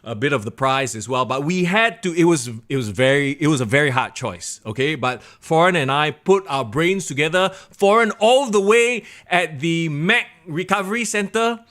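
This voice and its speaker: A young man with a Singaporean English accent hosting a wrestling podcast alone, reading viewer comments in an excitable way, his voice going hoarse. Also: normal speed, few pauses. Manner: excitable, animated, drops to low mutters. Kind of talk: solo live-stream chat reading viewer comments.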